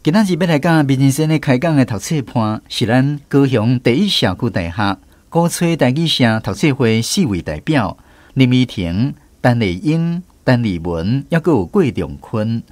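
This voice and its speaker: A man's voice: medium pitch, animated, moderate pace, a little mellow, no room sound. A man with a Taiwanese accent hosting a radio programme, reading scripted narration and introductions. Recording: prominent music under the voice. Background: music.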